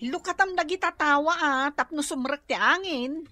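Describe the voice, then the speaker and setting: A woman's voice: high voice, moderate pace, sharp tone, faint music behind. A woman playing an exasperated young wife in a radio comedy-drama, speaking with an Ilocano accent.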